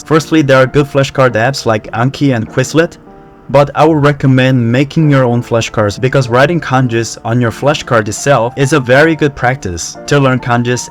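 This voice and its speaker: A young man from Korea with a Korean accent, talking to camera in a relaxed, conversational way about how he learned a language. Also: mid-range voice. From South Korea. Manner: relaxed, conversational, with fillers like "you know" and "like". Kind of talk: casual talk to camera.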